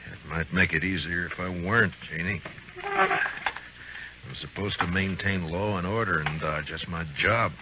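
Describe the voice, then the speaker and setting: Very deep-voiced, laconic frontier marshal. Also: male voice, very noisy. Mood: grave, guarded. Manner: laconic, measured, authoritative. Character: weary, steady frontier U.S. marshal. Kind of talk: old-time radio western acting. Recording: dull old radio recording, music.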